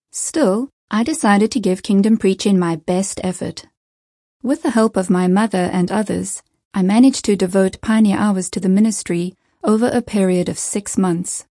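A woman narrates a first-person life story in a calm, measured and earnest read. Her voice is mellow and warm, set in a mid range for a woman. The sound is close and dry, with no room around it. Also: medium pitch, no room sound. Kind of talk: scripted narration.

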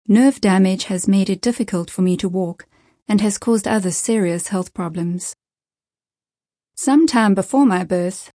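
A woman narrates a first-person life story in a calm, measured and earnest read. Her voice is mellow and warm, set in a mid range for a woman. The sound is close and dry, with no room around it. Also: medium pitch, no echo. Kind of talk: scripted narration.

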